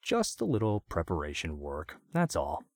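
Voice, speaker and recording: A man's voice: low-pitched, wildly swooping pitch, normal speed, no room sound, studio quality, exaggerated voice. A man voicing a gleeful, sadistic male vigilante who taunts his captive and laughs often. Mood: mocking.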